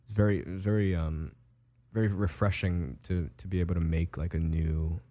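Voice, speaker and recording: A young man from Hawaii, a music producer giving laid-back, halting interview answers full of fillers. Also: deep voice, little pitch movement, mellow.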